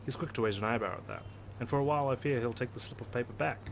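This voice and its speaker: A man reading visual novel narration aloud as a let's player, in an expressive storytelling style. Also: medium pitch, rapid-fire, slight background noise.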